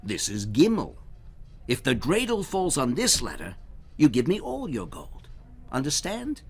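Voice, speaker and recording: Man playing a lively, sly storybook trickster. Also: mid-range voice, unhurried, gravelly, breathy edge, voice pushed up, exaggerated voice. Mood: instructive. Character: clever trickster man, breezy and slyly teasing.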